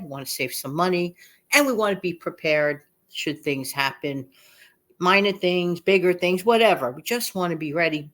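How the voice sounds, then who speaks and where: Slightly nasal, chatty woman host. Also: fairly low pitch. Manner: emphatic, repeats phrases for effect. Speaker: channel host. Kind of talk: casual chatty vlog.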